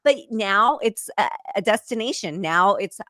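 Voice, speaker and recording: A woman from Southern California chatting as a podcast host, stammering a little and agreeing quickly. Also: mid-range voice, lively intonation, fairly bright tone, dry recording, clean studio sound. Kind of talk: casual chat.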